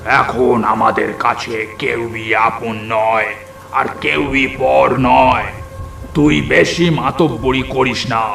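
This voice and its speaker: Male narrator as old ghoul grandfather, gravelly, dragging menace, cartoon horror, echoey. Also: mid-range voice, steady tone. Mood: menacing. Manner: slow, drawn-out, domineering. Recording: echoey voice under loud music.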